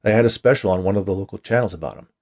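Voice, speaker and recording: A man reads as a seasoned minder in an audio short story, low-voiced and creaky. He explains the job in a plain, matter-of-fact way to a newcomer. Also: rapid-fire, darkish tone. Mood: matter-of-fact explaining. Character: matter-of-fact veteran watcher training a new hire.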